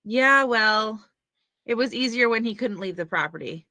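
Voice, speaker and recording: A woman's voice: fairly high pitch, lively intonation, moderate pace, bright, forceful tone, no room sound. A woman playing a tense, defiant young woman fleeing a killer in a horror script table read. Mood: tense, resolute.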